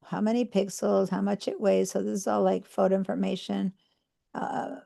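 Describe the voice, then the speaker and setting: A woman's voice: mid-range voice, level tone, normal speed, gravelly, dark tone. A woman from Argentina teaching an online computer class, patiently talking students through each step and checking in with them. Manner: patient, step-by-step explaining with fillers. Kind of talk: live online class demonstration.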